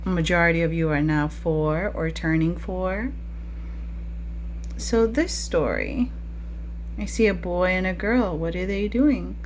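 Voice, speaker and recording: Woman, preschool teacher, slightly low pitch, interactive read-aloud for young children, question-filled teacherly delivery, faint background noise. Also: lively intonation, a little slow, pauses often.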